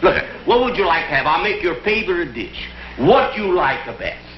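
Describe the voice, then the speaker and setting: A man playing a flustered, stingy Italian husband in a 1960s TV comedy sketch, speaking excitable broken English with a put-on Italian accent. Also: high-pitched, moderate pace, slight background noise. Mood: eager, puzzled. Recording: dull echoey 1960s TV soundtrack, audience, music.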